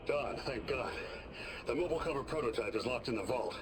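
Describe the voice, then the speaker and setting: A man's voice: medium pitch, lively intonation, brisk, close to an everyday voice. An actor playing a smug, self-important corporate man in a video game audio log, cocky and taunting. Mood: impatient. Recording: noisy recorded log with background ambience.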